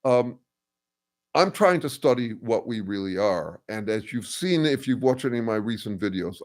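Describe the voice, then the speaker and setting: An older man hosting a casual livestream, thinking aloud with many ums, uhs and restarts as he answers viewers, and describing his own voice as a bass. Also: a little mellow. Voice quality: says he has a bass voice. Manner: thoughtful, halting, full of ums and restarts. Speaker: host answering viewer comments.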